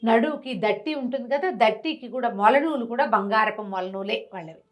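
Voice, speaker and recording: Female storyteller with a fairly high voice and a lively, repetitive conversational delivery. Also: fairly quick. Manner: lively, rises and drops in pitch and volume; repeats words and restarts. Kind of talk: telling a devotional story to an interviewer.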